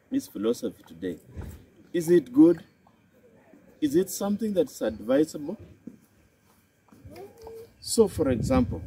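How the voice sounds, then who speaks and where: A man with a Kenyan accent, a health and psychology specialist, giving a slow, deliberate talk to camera outdoors in a city. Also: mellow, slight background noise. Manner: slow and deliberate, with a swooping emphatic pitch.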